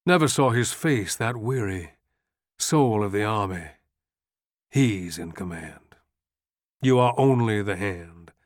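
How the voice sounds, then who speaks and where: A man voicing a gruff, weary army general who speaks bluntly and earthily. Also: medium pitch, sing-song, moderate pace, dry recording, studio quality, voice pushed up. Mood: brooding.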